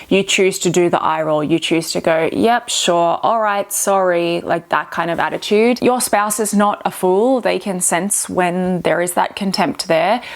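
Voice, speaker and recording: A woman speaking in a fairly low voice, talking through relationship advice with earnest emphasis. She leans on key words and repeats them for weight, in a casual commentary style. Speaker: reaction video host.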